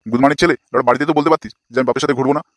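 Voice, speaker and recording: A man's voice: medium pitch, fairly even intonation, very fast, no room sound, studio quality, close to an everyday voice. A man voicing an exasperated, foul-mouthed older village father in a comic cartoon, scolding crudely.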